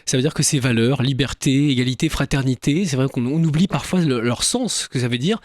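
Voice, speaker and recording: A man hosting a radio interview in a studio, brisk and chatty, steering his guest with leading questions. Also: mid-range voice, no echo. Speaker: radio host. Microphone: studio microphone.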